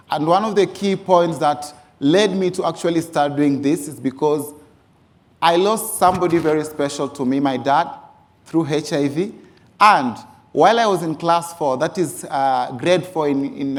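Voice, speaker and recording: A man from Kenya with a Kenyan accent, a youth organization founder giving a personal presentation and often stressing "actually". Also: on the high side. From Mombasa, Kenya. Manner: frequent emphatic use of "actually". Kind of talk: personal presentation with photo slides.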